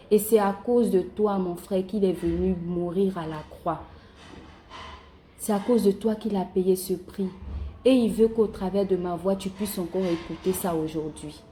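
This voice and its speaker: A woman's voice: medium pitch, dark tone, a little hiss or hum. A woman speaking as a phone evangelist, preaching informally and directly to one listener in an earnest, encouraging way. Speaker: evangelist calling strangers by phone.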